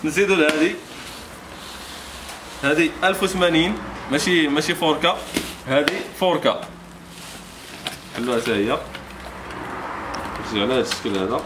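A Moroccan man in his thirties gives an informal, repetitive product pitch. He talks quickly in a slightly high voice, with clear background noise in an indoor shop. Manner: repetitive, salesman-like patter. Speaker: shop seller presenting products. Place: small motorbike accessory shop, indoors. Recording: clearly noisy shop ambience.

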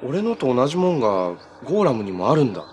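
A man's voice: medium pitch, big pitch swings, fast talker, darkish tone, lightly acted. An actor playing an easygoing, kind young male hero in a TV superhero drama, speaking in a friendly, earnest, casual way. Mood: relaxed, cheerful. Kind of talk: naturalistic Japanese TV drama acting. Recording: TV drama audio with noticeable background noise.

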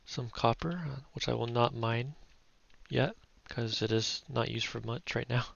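A young man giving relaxed, chatty gameplay commentary, thinking aloud as he plays. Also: medium pitch, measured pace, creaky, muffled recording. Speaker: gaming YouTuber.

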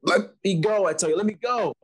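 A man's voice: high voice, a little fast, husky. A young man playing a tormented, haunted man in dramatic 1940s radio horror style. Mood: tormented, fearful. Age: young adult. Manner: anguished, dramatic pleading. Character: tormented, haunted male concert pianist.

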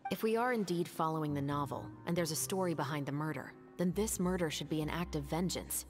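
An actress playing a calm, methodical detective captain who speaks in a measured, serious way. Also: female voice, low-pitched, soft background music, lightly acted. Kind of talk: video game voice acting.

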